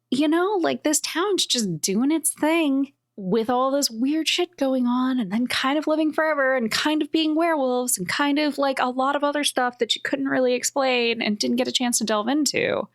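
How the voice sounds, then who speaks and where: A woman talks casually as one of a podcast cast. Her voice is fairly high and conversational. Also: lively intonation, moderate pace. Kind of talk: casual chat.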